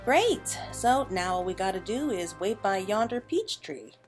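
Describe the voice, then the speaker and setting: A woman hosting a casual game playthrough in an excitable, gushing manner. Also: medium pitch, unhurried. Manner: excitable, gushing, reads game dialogue aloud. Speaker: gaming channel host. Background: game music.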